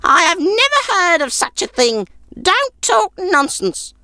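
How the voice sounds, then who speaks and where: A woman's voice: very high-pitched, very animated, unhurried, bright, forceful tone, no room sound, exaggerated voice. A woman voicing a sour, grudging, sceptical old peasant woman in a folk tale, with a scornful, snappish manner.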